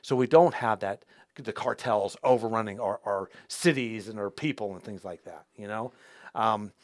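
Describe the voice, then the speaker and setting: A man hosting an interview show, talking casually, restarting often and repeating words. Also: dry recording. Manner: halting, repeats words as he restarts, lively rises in pitch. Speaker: host.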